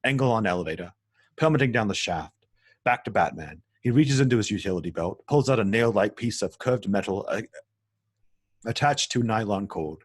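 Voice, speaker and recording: A man's voice: fairly low pitch, lively intonation, moderate pace, husky. A man narrating stage directions in a script table read, matter-of-fact and occasionally stumbling over words.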